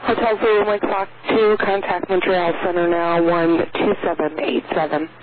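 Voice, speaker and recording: A woman's voice: fairly low pitch, steady tone, moderate pace, phone-quality sound. A woman giving instructions as an air traffic controller over aviation radio.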